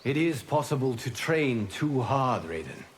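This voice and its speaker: A wise guardian god for a fighting game. He speaks at a middling pitch, formal and measured, stern yet kind. Heavy noise and clicks sit over the sound. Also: big pitch swings. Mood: calm, grave. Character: wise, calm male god protecting Earth, stern but kind. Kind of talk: video game dramatic dialogue. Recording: noisy game capture with clicks.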